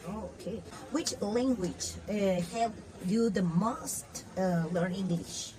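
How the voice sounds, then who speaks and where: A woman's voice: medium pitch, slow, a crowd in the background. A woman interviewer asking short, plain follow-up questions. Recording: noisy background.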